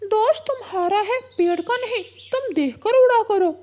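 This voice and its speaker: A woman voicing a kindly, anxious male parrot in an animated children's story, earnest and pleading. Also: very high voice, normal speed. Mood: protesting.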